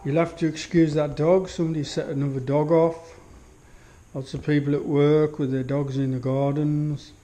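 A man giving a relaxed walkthrough of his home gym, admiring each piece of kit with many pauses and fillers, recorded on a handheld action camera in a small indoor room. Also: on the high side. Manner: unhurried, full of fillers, admiring. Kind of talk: casual walkthrough commentary. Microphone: action camera held while walking.

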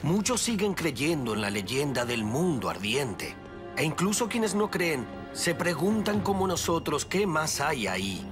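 High, excitable cartoon expedition leader. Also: male voice, unhurried, music in the background. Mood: mysterious. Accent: Latin American. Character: eager, smooth-talking, obsessive middle-aged male expedition leader.

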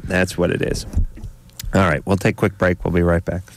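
A man's voice: low voice, monotone, fairly quick, a little hiss or hum. A middle-aged man hosting a radio call-in show, riffing sarcastically with callers and co-hosts on a studio mic. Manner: sarcastic, riffing with comic tangents. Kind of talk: casual radio banter with callers. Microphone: studio microphone.

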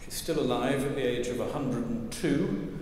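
A man's voice: medium pitch, moderate pace, a little hiss or hum. Older man preaching in an echoing church. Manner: wry, gently humorous storytelling. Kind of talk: sermon. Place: large stone church, at a lectern. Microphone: lectern mic, far camera. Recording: echoey church with long reverb.

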